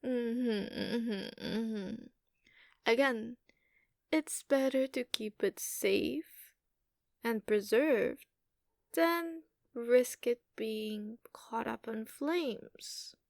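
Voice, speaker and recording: A woman voicing an ageless giantess dragon in an ASMR roleplay, haughty, doting and possessive, teasing with condescension and drawn-out hums. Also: a little high, vocal fry, no echo, studio quality, exaggerated voice. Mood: calm, self-assured.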